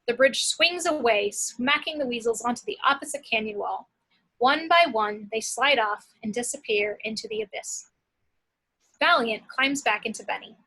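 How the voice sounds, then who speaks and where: A woman's voice: fairly high pitch, normal speed, pushed and bright, rough recording. A woman narrating action descriptions from a script at an amateur table read.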